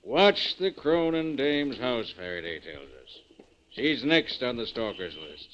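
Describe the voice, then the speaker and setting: A man's voice: mid-range voice, very animated, takes it slowly. A man with a working-class American accent playing an edgy, belligerent beat cop in old-time radio drama, grumbling like a tough guy. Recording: dull vintage radio recording, faint noise.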